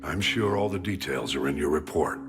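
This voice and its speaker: An actor playing a gruff older military admiral in a video game, speaking in a measured, grave, authoritative way. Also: male voice, very deep voice, lively intonation, over music. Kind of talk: video game dramatic acting.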